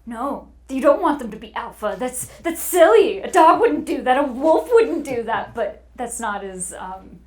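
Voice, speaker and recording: High-voiced, expressive woman chatting. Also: measured pace, hardly any pauses. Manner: animated, expressive. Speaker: voice actor guest. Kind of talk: casual panel chat.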